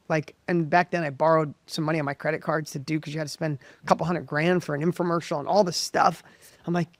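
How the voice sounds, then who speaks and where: A middle-aged man from the United States telling his story as an interview guest, with a high voice, animated and full of quick restarts. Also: vocal fry, no room sound. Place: professional studio.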